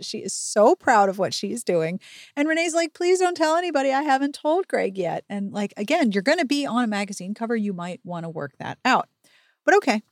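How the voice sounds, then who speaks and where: A woman hosting a chatty book recap podcast, animated and full of wry asides. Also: fairly high pitch, no room sound, studio quality. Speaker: podcast host.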